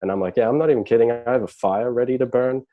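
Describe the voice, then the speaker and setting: A man chatting casually as a podcast guest over a video call, relaxed and rambling with fillers. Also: mid-range voice, very fast, phone-quality sound. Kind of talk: casual chat.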